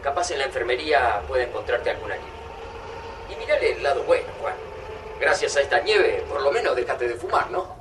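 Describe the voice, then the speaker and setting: Radio drama sidekick: actor playing friendly, thoughtful Argentine man, swooping delivery, clearly noisy background. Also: mid-range voice, lively intonation, brisk, lightly acted. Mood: warm, teasing. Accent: Argentine Rioplatense. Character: Favali, warm, reassuring, analytical old friend of the hero. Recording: noisy, distant mix with vehicle sounds.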